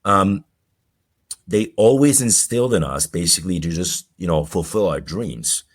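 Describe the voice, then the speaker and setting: Male podcast guest, forties, deep voice, halting personal storyteller. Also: animated. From Colombia. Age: 40s.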